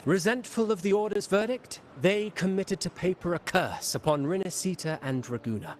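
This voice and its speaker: An actor voicing a theatrical, scheming male playwright in a game cutscene, telling a dark tale with teasing, dramatic flair. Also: high-pitched, expressive, normal speed, a little hiss or hum. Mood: sly. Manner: dramatic, teasing, mysterious storytelling. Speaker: game character.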